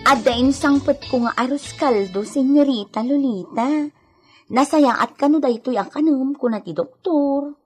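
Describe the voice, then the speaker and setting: High, husky Filipino servant girl. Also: unhurried, darkish tone, soft background music. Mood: caring, coaxing. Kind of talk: radio drama acting.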